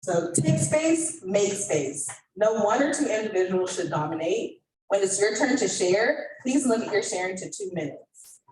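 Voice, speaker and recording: A woman co-facilitating an event, presenting discussion guidelines to a group in a measured, deliberate way. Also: medium pitch, lively intonation, a little mellow. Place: indoor event room with online participants. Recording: echoey room, distant mic, faint noise.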